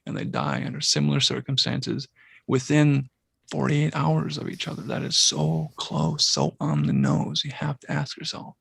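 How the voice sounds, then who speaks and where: A man's voice: mid-range voice, lively intonation, normal speed, creaky, a bit bright, breathy edge, no echo. A man co-hosting a podcast, musing at length about synchronicities in casual talk. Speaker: podcast co-host.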